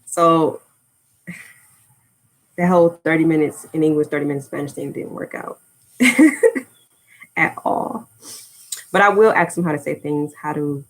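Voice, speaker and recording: A woman's voice: low voice, vocal fry, poor audio. An American woman chatting casually on a livestream, rambling and drifting off topic with lots of fillers. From United States. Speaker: language-learning YouTuber.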